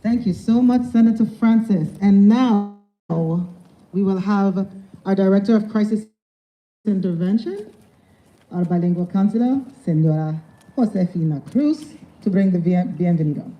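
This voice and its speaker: A woman's voice: medium pitch, moderate pace. Woman emceeing an event, introducing speakers, kinda muffled lo-fi audio. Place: public event.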